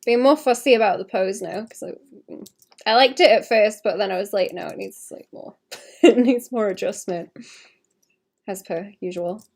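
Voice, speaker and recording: Brief: young female streamer, gravelly and husky from a cough, easygoing and rambling. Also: medium pitch, moderate pace. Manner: chatty, self-correcting, many "like"s and soft laughs. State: hoarse, suppressing a cough. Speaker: digital artist streaming a commission. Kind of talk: casual thinking-aloud commentary while sketching.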